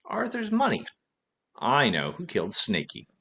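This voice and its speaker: A man's voice: mid-range voice, wildly swooping pitch, normal speed, creaky, sharp tone, heavily acted. A man playing a wry, wisecracking, tipsy former detective in a vintage-style radio play. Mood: cool, scheming. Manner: wisecracking, teasing.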